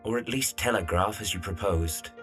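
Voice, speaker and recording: A man acts out a young gentleman in an audio period drama, fairly low in pitch. He is earnest, formal and easily wounded, with music behind him. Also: moderate pace, close to an everyday voice. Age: young adult.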